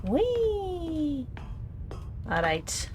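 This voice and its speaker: A woman's voice: high-pitched, huge pitch swings, very slow, darkish tone, slight background noise. A young woman playing a game and commenting casually, giggly and sarcastic, swearing freely. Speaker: let's play host.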